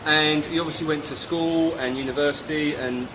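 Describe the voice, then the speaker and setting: A man's voice: fairly high pitch, steady tone, normal speed. A man in his forties hosting a podcast, asking questions in an inquisitive, jokey way. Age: 40s. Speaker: podcast host. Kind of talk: casual interview chat. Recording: noisy ambient background.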